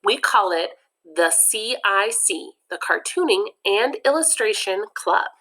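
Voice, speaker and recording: A woman reading aloud puts on a cheerful female teacher from a kids' storybook. She sounds high, chirpy and upbeat. Her voice is lifted well above the reader's own. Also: animated, takes it slowly, fairly bright tone.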